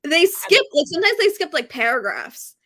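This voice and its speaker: A woman hosting a book readalong, chatting casually and excitedly, with an emphatic, gushing way of repeating herself. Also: extremely high pitch, normal speed. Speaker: host of a book readalong live stream.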